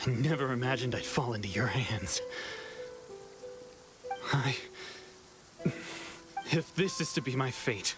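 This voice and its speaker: A man voicing a resigned, defeated swordsman in an anime video game, quiet and subdued. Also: mid-range voice, expressive, slow pace, pauses often, over music.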